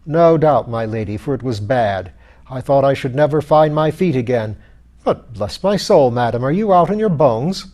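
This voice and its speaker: A man voicing a pompous, irritable, hard-drinking skeleton lord in an audiobook, rough and blustering in old-fashioned aristocratic speech. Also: medium pitch, lively intonation, moderate pace, a little mellow, natural voice. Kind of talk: audiobook character voice.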